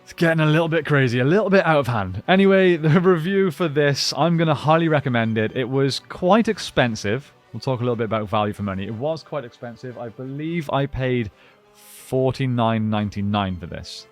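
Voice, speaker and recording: A man's voice: medium pitch, expressive, brisk, soft background music. A man giving a casual unboxing and review, talking informally and thinking aloud as he goes. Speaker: toy reviewer and collector.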